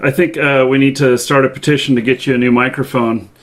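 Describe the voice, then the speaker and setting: A man's voice: medium pitch, steady tone, fast talker. A man hosting a casual interview show, hesitant and full of fillers as he asks questions. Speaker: show host.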